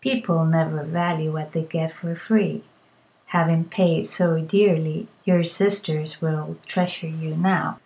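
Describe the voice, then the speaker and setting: A woman's voice: sits low, fairly even intonation, takes it slowly, creaky, muffled recording, exaggerated voice. Woman reading as a sly old cave witch. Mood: knowing. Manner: dry, matter-of-fact, quietly knowing.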